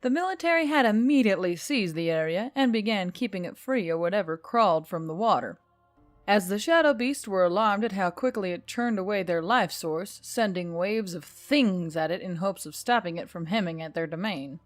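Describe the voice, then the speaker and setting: A woman's voice: mid-range voice, animated, moderate pace. Woman narrating fiction over music. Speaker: audiobook narrator and channel reader. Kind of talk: scripted story narration.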